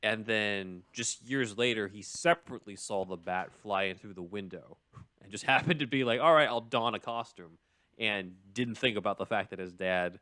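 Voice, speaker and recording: A man's voice: fairly low pitch, normal speed, pushed and bright. A man hosting a podcast, explaining a film story in casual chat with an analytical, enthusiastic fan's manner and casual asides. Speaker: podcast host.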